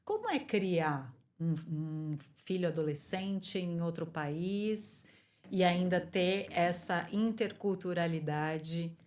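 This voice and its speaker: A woman hosting a podcast who interviews her guest in an encouraging way, with quick approving interjections. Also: on the low side, animated, darkish tone, slight background noise. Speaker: podcast host.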